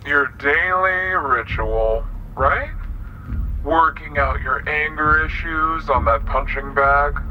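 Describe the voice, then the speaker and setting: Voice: mid-range voice, slow, a little hiss or hum, natural voice. An actor playing a mysterious hooded killer in an audio drama, cool and mocking, with a muffled voice. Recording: dull muffled sound, faint music.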